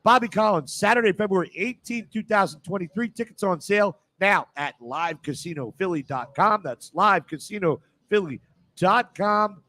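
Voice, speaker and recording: A man's voice: high voice, lively intonation, moderate pace, sharp tone. A man hosting a live sports radio show, moving between announcing and casual chat. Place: casino venue in South Philadelphia.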